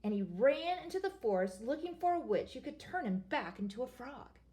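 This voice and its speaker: A woman's voice: sits high, sing-song, normal speed, dark tone, slight background noise. Woman reading a storybook aloud, playful. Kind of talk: reading a picture book aloud.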